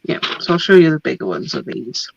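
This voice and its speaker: A woman's voice: deep voice, fairly quick, creaky, slightly rough, no room sound, highs cut off. Chatty woman with a coming cold. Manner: chatty, laughs often, trails off with ums. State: getting a cold, losing her voice. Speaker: host showing craft papers. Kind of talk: casual product show-and-tell.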